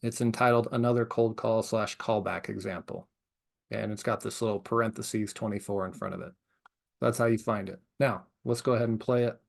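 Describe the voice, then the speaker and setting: A man's voice: fairly low pitch, fairly even intonation, a little fast, gravelly. A man teaching students in an informal, self-deprecating way, often checking in as he comments on his lesson. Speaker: trainer teaching students about cold calls. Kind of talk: casual video lesson with commentary.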